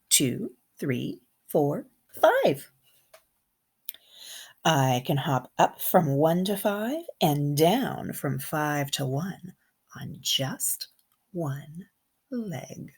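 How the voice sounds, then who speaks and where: Woman, kindergarten teacher type, low register, slightly husky, expressive and animated storybook reading with conversational asides for little listeners. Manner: expressive, engaging young listeners with questions and comments. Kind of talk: children's picture-book read-aloud with chatty asides.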